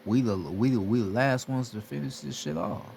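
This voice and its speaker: A gravelly-voiced man speaks at a middle pitch, talking like a plainspoken spiritual teacher. He is blunt and repetitive and swears constantly as he drives his point home. A faint hiss of noise sits under the voice. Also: moderate pace, a little mellow. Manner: blunt, repetitive, heavy profanity. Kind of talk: casual motivational monologue.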